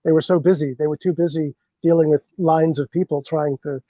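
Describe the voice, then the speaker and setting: A man's voice: a little high, steady tone, fairly quick, dark tone, no echo, highs cut off. A man from a bourbon brand on a podcast, talking through his marketing plans in a rambling way, with lots of fillers and restarts. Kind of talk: casual podcast chat.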